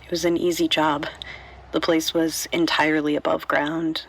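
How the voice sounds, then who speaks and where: A woman's voice: low-pitched, flat delivery, moderate pace, vocal fry, low-quality recording. A woman playing a bitter, guarded bank robber and mother, speaking conversationally in an audio drama monologue. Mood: regretful, confessional. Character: tough female bank robber, bitter mother, wryly sardonic.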